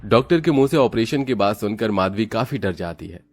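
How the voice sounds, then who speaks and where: A man narrating an animated moral tale for children in a scripted storytelling style. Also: fairly low pitch, fairly quick.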